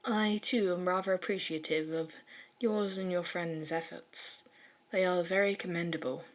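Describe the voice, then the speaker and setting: A woman's voice: lowish voice, steady tone, normal speed, close to an everyday voice. A woman voicing a solemn teenage boy who speaks in grand, archaic, formal phrases in an audio fan story. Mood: solemn, appreciative.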